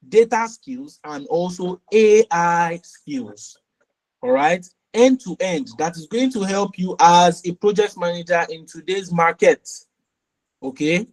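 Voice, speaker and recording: A man's voice: a little high, darkish tone, rough recording. A man instructing a live online class. He often repeats key phrases and checks in with "okay" and "all right". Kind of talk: live webinar teaching.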